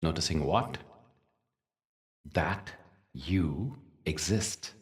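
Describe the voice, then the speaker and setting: A man leading a guided meditation as a teacher, speaking gently in short phrases with long pauses. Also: extremely low pitch, recorded from a distance. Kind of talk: guided meditation with spiritual talk.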